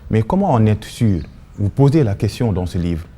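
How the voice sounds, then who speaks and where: Low, very dark-voiced male interviewer. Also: sing-song, normal speed. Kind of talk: conversational book interview.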